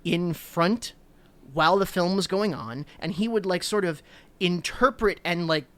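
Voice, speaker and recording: A man co-hosting a podcast chats with eager, rambling energy. His voice sits a little high and comes through smooth and resonant. The sound is close and dry. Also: moderate pace, a bit bright, no echo. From New Jersey, USA. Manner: enthusiastic, rambling with many fillers. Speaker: podcast co-host. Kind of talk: casual chat.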